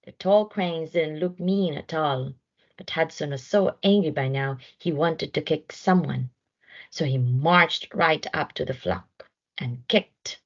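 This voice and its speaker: A woman reading a children's story aloud in an expressive narration style, on a slightly dull recording. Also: deep voice, slow pace. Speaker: storybook reader.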